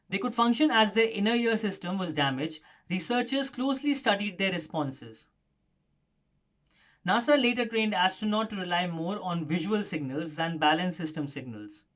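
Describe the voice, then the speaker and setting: A man's voice: high voice, fairly bright tone. A man presenting a scripted explanatory news story as a narrator.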